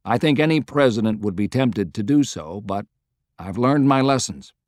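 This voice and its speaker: A man's voice: medium pitch, a little mellow, no room sound, clean studio sound, natural voice. Man voicing a folksy, emphatic American president. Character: plain-spoken, weary, folksy male American president.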